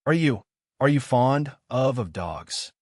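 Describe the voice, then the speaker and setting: Curious, chatty young girl for a storybook, played by a male narrator: polite, earnest, lilting widely in pitch. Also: expressive, slow pace, darkish tone, dry recording, clean studio sound. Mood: apologetic. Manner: earnest, apologetic, rambling.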